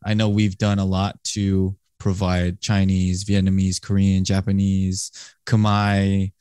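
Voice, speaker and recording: A man hosting a podcast interview, asking questions in a relaxed, conversational way. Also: low voice, slow, clear, resonant tone, no echo, clean studio sound. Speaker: podcast host.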